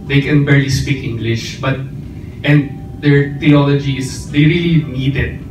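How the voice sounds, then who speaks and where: A man with a Filipino accent asks a panel a question, pausing often to find his words. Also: mid-range voice, steady tone, darkish tone, soft background music. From Philippines. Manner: hesitant, searching for words. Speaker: conference attendee asking a question. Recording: echoey hall mic from a distance.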